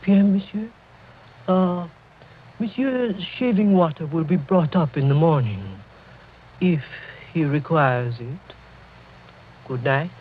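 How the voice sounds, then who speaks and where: An actress playing an ominous chateau housekeeper in a radio mystery, with a French accent and a formal, measured manner. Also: female voice, sits low, expressive. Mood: ominous formality. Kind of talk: 1940s radio mystery acting. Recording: muffled, noisy 1940s radio recording.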